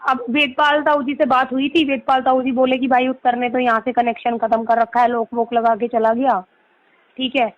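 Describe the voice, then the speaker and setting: Woman lawyer, higher voice, insistent explainer on a phone call. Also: flat delivery, moderate pace, no echo. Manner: insistent, explanatory, peppers Hindi with English legal terms. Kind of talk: persuasive phone conversation. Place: recorded phone or video call. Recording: muffled lo-fi recorded call.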